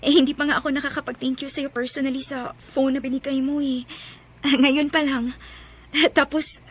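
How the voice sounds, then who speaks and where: An actress playing a shy, polite, heartbroken young working woman in a radio drama, speaking softly and hesitantly with a Cebuano Filipino accent. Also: sits high, little pitch movement, normal speed, lightly acted.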